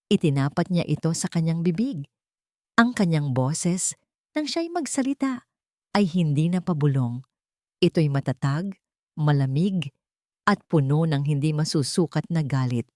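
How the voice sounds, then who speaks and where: A woman reading a scripted story in a dramatic, deliberate manner, phrase by phrase. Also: fairly low pitch, warm tone, dry recording, clean studio sound. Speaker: storyteller, narrator.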